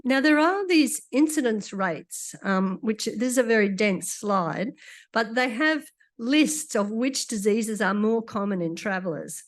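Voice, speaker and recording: Woman doctor, conference talk, a bit croaky, higher-pitched, chatty with dry humour. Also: animated, a little slow. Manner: conversational, with dry asides. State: croaky after illness. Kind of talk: conference lecture.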